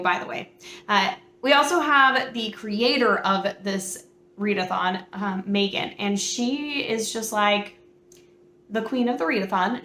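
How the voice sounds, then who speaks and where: A woman hosting her book channel, chatting casually and excitedly to camera, a bit hoarse from a cold, with plenty of fillers. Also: medium pitch, echoey room, a little hiss or hum. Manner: bubbly, chatty, many fillers.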